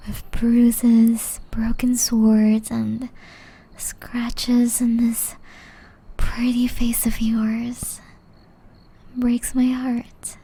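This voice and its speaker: A woman voicing a gentle, caring young witch in love, whispering softly and tenderly in an ASMR romance roleplay. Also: on the high side, exaggerated voice, rough recording. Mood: earnest, loving. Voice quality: soft, breathy whisper. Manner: slow, tender, intimate delivery.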